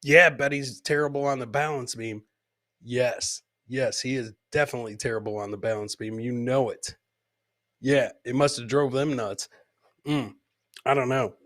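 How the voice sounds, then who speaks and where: A man's voice: medium pitch, steady tone, moderate pace, fairly bright tone. A man hosting a live comedy podcast, casually commenting on fail clips and repeating his own lines as he chats with viewers.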